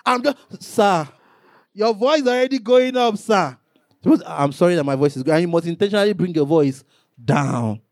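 A man's voice: high voice, a little mellow, dry recording, studio quality. A man, a pastor preaching a sermon, rising into emphatic bursts and acting out little quotes.

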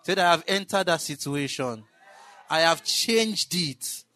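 A man preaching in church, building into shouted exclamations. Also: fairly high pitch, lively intonation, measured pace. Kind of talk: sermon.

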